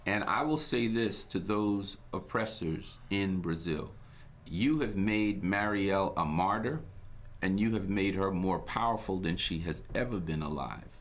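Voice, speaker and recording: A very deep-voiced man from Guyana hosts his own broadcast. He talks in a measured, sermon-like cadence, weaving in scripture and uplifting sayings. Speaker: host. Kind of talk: inspirational broadcast talk.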